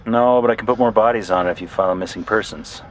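An actor playing a matter-of-fact police detective in an audio drama, clipped and businesslike. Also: male voice, on the low side, fast, slight background noise, natural voice.

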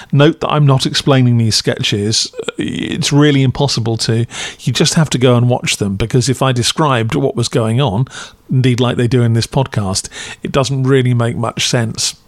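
Male podcast critic, medium pitch, dry wit, scripted narration, very dry studio sound. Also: no echo. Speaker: podcast reviewer and host.

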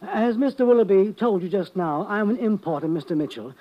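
A timid importer stumbles through his story in a high, thin voice, stammering and halting as he goes. in an old-time radio drama, hesitant and jittery. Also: expressive, muffled recording. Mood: nervous. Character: nervous timid male importer, middle-aged. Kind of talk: 1940s radio acting.

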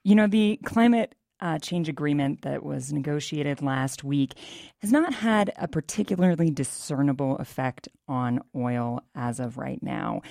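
A woman's voice: a bass voice, gravelly, mellow, no echo, studio quality. A woman with an American accent speaking as a radio reporter, explaining the news off the cuff in an interview.

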